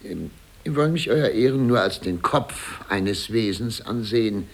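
A fussy small-town mayor in an old radio drama, slow and husky, his pitch swinging widely. He is ceremonious and over-polite, stammering as he searches for words. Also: expressive. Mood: flustered, deferential. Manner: stammering, ceremonious, halting hedging. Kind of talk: 1950s German radio play acting.

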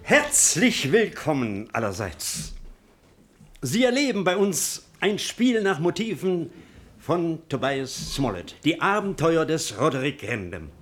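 Lively radio play master of ceremonies, fairly high voice, slight noise behind. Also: male voice, heavily acted. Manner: lively, announcing. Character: genial showman master of ceremonies.

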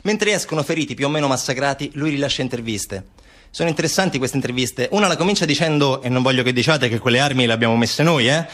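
A man's voice: medium pitch, fast, a bit bright. A man performing a theatrical narrative monologue, with emphatic, repetitive, incantatory phrasing. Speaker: stage actor and narrator. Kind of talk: dramatic theatrical monologue storytelling.

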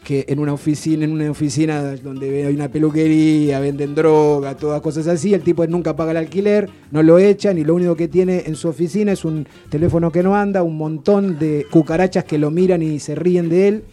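A man's voice: a little high, fairly even intonation, a little fast, few pauses, mellow. A man chatting on a radio show, telling rambling stories full of restarts. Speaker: radio guest presenting authors. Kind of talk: casual radio chat. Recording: radio studio with faint background music.

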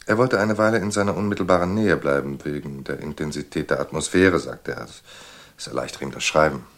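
A man's voice: rumbling low, a little fast. An actor playing a composed, courteous male official of an institution in an audio drama. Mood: calm, courteous.

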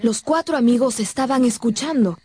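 A woman's voice: fairly high pitch, moderate pace, dark tone. A woman narrating a radio drama in a scripted, dramatic storytelling style.

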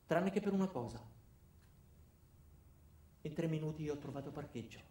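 A male actor plays an ordinary man who has turned mean. He tells his story tersely and matter-of-factly, as a comic radio monologue. The sound is roomy, with clear echo. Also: a little high, lively intonation, normal speed, warm tone, natural voice. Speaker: narrator and lead actor.

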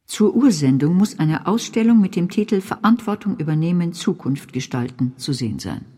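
An actress playing a stern dramaturge in a radio play, laying down rules in a husky, dark, authoritative voice. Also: female voice, fairly low pitch, expressive, moderate pace. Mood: demanding. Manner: prescriptive, authoritative. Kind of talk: radio play, declarative statements.

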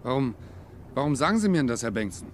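A man's voice: medium pitch, sing-song, fast, natural voice. An actor playing an earnest, wary young man, a captain's son, in a 1950s radio drama. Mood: shaken, indignant. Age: young adult. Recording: hissy 1950s radio recording.

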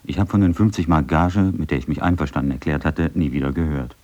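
A man with a very deep voice reads out a recollection. His tone is dark and relaxed, his delivery sober and matter-of-fact. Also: fast. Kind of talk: reading out a written recollection.

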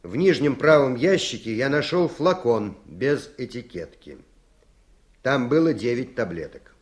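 Actor as matter-of-fact veteran investigator, mid-pitched. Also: male voice, expressive, a little slow, natural voice. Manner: formal, factual. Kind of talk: radio play acting.

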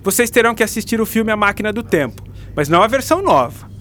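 An actor playing a patient, enthusiastic school teacher with a Brazilian accent, lecturing in a radio drama. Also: male voice, sits high, very animated, fast, a bit bright, slight background noise. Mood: instructing, reassuring. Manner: didactic, explanatory.

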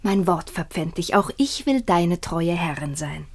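An actress playing a passionate, devoted and defiant young princess in a classic radio play, declaiming with intensity. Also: female voice, on the low side, lively intonation, measured pace, darkish tone. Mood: anxious longing. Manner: declamatory, intense, dropping into breathy whispers.

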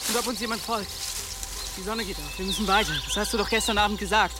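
A man's voice: high-pitched, fast, very noisy, lightly acted. An actor playing an anxious, urgent young man on the run in a German fantasy radio drama. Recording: loud outdoor ambience.

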